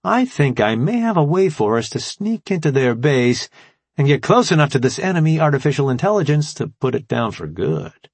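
A man's voice: mid-range voice, sing-song, measured pace, vocal fry, breathy edge, dry recording, voice pushed up, heavily acted. A man voicing an eager, cocky, playful young kid brother as a character in an audiobook, with casual boastful delivery.

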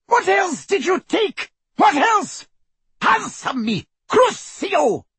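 A man's voice: very high voice, sing-song, takes it slowly, a bit bright, dry recording, pitched above the performer's own voice, full character voice. A man voicing a cruel, deranged villainess from a storybook in a screeching, menacing, demanding way. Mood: screeching fury. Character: cruel, shrieking, deranged villainess interrogating a captive. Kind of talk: storybook character voice.

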